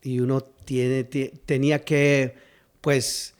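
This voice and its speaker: Man in his 50s from South Texas, guest pastor, mid-pitched, halting storyteller. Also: little pitch movement, clear, resonant tone. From South Texas, United States. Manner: hesitant, with frequent fillers and restarts, laughs easily. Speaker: pastor and singer-songwriter, guest. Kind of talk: conversational storytelling.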